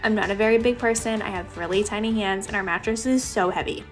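A woman's voice: mid-range voice, fast. A young woman giving a casual, chatty voice-over as a home vlogger, talking directly to her viewers. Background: background music.

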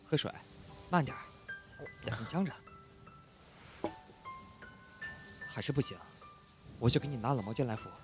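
A man playing a hot-tempered but caring young roommate in an audio drama, expressive and exclamatory. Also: medium pitch, brisk, vocal fry, music in the background. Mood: gentle, caring. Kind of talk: Chinese audio drama acting.

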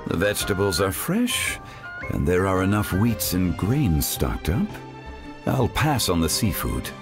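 A man voicing a calm, dignified male scholar in a game, speaking in a measured, formal way. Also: deep voice, music in the background. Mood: composed.

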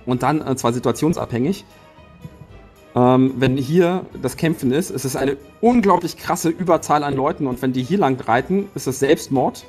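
A man's voice: medium pitch, moderate pace, a little mellow, faint music behind. A man playing a tabletop game, working out a battle plan aloud and restarting his sentences as he thinks. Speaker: player. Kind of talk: tabletop strategy discussion.

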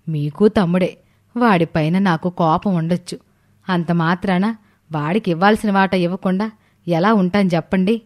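A woman's voice: on the low side, a little mellow, natural voice. A woman playing a stern, aggrieved older mother in a cartoon, reproachful and firm.

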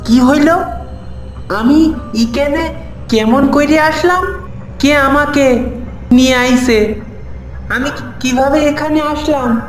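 A man voicing a bewildered village labourer with a rural Bengali dialect in an animated ghost story, halting and fearful. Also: extremely high pitch. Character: bewildered frightened village labourer with rustic speech. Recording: echoey, with faint music behind.

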